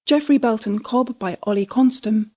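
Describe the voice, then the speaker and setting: A woman's voice: fairly high pitch, moderate pace, a little mellow. A woman announcer reading scripted closing credits for an audio drama. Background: music.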